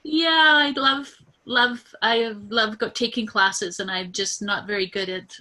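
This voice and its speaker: A nervous woman explaining her business idea on a video call, halting and full of fillers and restarts. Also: on the high side, fairly even intonation, bright, forceful tone. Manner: hesitant, many fillers and restarts. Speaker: coaching client.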